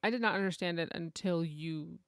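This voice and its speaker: A woman co-hosting a casual book chat podcast, chatty and quick to laugh. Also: lowish voice, clear, resonant tone, no room sound, clean studio sound. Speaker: podcast co-host.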